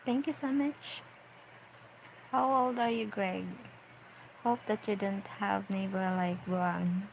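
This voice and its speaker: A woman in her forties with a Filipino accent, chatting casually on a livestream as she reads viewer comments aloud and answers them in a playful, unhurried way. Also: medium pitch, steady tone, gravelly, darkish tone, a little hiss or hum, poor audio. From Philippines. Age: 40s. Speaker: vlogger on a late-night livestream.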